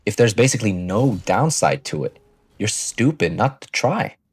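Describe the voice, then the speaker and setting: A man's voice: on the low side, very animated, moderate pace, breathy edge, no room sound. A man in his early twenties giving a casual, blunt motivational monologue to viewers, assertive and swearing freely, on a close microphone. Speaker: finance vlogger.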